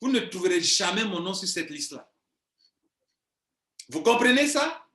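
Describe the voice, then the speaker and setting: A man's voice: high voice, sing-song, normal speed, bright, forceful tone. A man, an online business coach talking straight to his viewers in an insistent, repetitive way. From Ivory Coast. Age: 40s. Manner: insistent, emphatic, repeats himself. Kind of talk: video monologue to viewers. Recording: echoey room.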